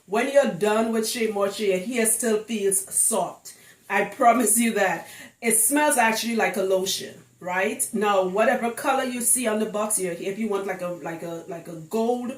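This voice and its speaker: A woman's voice: mid-range voice, moderate pace. A woman giving a casual, chatty explainer to camera as a hairstylist, emphatic and fond of rhetorical questions. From Trinidad. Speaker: locs hairstylist. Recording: roomy, echoing indoor sound.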